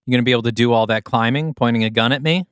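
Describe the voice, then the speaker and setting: A man's voice: medium pitch, racing, fairly bright tone, smooth, dry recording, clean studio sound, natural voice. A man voicing a nervous, wisecracking young male soldier who rambles and pleads. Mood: wry.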